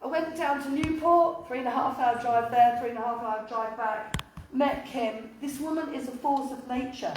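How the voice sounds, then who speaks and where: A woman, a councillor speaking at a public meeting in a loud, blunt, emphatic voice. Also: sits high, normal speed. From North Wales. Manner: blunt, emphatic, rising to indignant questions. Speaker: county councillor. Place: chapel hall. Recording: echoey hall from far off with faint noise.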